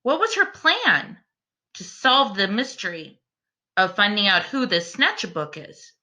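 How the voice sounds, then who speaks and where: Animated, bright-voiced woman reading to children. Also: medium pitch. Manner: expressive, deliberate, addressing young listeners directly. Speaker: teacher reading a picture book aloud to children. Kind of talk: animated children's read-aloud with questions to listeners.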